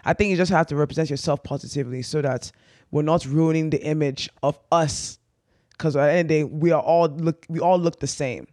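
A woman appearing as a guest performer on a podcast, answering earnestly and haltingly, with many restarts. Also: low-pitched, fairly even intonation, a little mellow, no echo, clean studio sound. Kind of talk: casual interview chat.

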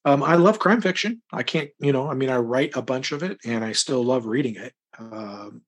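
A middle-aged man with a husky voice giving casual interview answers, thinking aloud in rambling, broken phrases. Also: medium pitch, normal speed. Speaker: interview guest, crime novelist.

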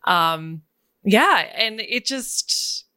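A woman with a calming voice, a business coach chatting as a guest in an interview and laughing between thoughts. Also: medium pitch, drawn-out words, smooth, dry recording. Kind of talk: casual interview chat.

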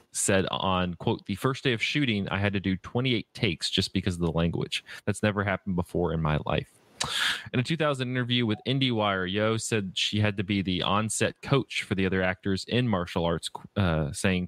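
A man with a fairly low voice hosts a film podcast, reading from prepared notes. His delivery is halting, with stumbles as he goes. The sound is dry, with hardly any room on it. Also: dry recording. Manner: halting, stumbles over words while reading. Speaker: podcast host.

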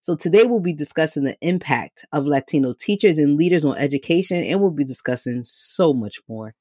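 A woman's voice: low-pitched, lively intonation, a little fast, warm tone. A woman hosts an interview with plenty of enthusiasm and laughs easily as she welcomes her guest. The sound is dry and close, with no room echo. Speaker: podcast host. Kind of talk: conversational interview.

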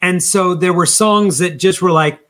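Man, fifties, slightly high-pitched voice, emphatic, enthusiastic, casual interview talk. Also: moderate pace, a bit bright. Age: 50s. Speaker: band singer being interviewed.

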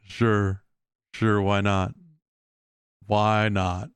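A middle-aged man hosting a podcast, giving casual solo commentary on news in a rambling, halting way with repeated words. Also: fairly low pitch, little pitch movement, smooth, no echo. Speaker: podcast host.

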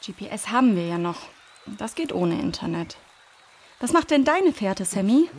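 A woman's voice: mid-range voice, very animated, moderate pace, slightly rough. A woman playing a practical, level-headed leader of young adventurers in a children's audio drama. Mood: concerned.

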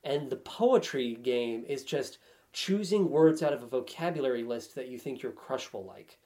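A man's voice: mid-range voice, lively intonation, moderate pace, warm tone. Wry man chatting casually about games. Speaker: podcast guest.

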